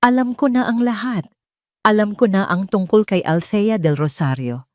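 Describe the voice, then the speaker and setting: A woman's voice: mid-range voice, moderate pace, a little mellow, no room sound, studio quality, lightly acted. A woman with a Filipino accent voicing a wealthy, wounded, determined son confronting his mother in an audio drama. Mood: angry, accusing. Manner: intense, accusing.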